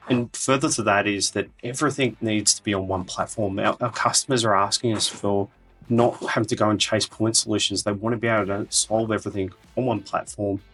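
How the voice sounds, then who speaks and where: Guy exec chatting business in an interview, bit low and husky. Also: a bit bright. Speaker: interviewee, regional company executive. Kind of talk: conversational business talk. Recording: music behind the talk. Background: background music.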